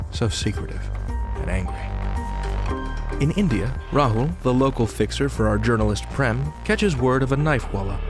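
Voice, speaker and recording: Theatrical male podcast host over music. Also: mid-range voice. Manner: theatrical, addresses listener directly. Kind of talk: scripted narration, story recap. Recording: music bed behind the voice.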